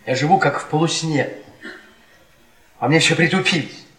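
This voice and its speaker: Casting: man playing embittered middle-aged academic husband, henpecked, needling and halting, theatrical radio drama, roomy echo. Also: a little high, a bit bright, close to an everyday voice. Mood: weary bitterness. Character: bitter, browbeaten middle-aged history professor husband. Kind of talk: theatrical radio play acting. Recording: echoey room, stage radio play.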